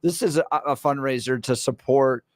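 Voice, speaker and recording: A man's voice: medium pitch, normal speed, no room sound, studio quality. A man co-hosting a talk radio show, chiming in with short reactions and follow-up questions. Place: radio studio. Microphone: studio microphone.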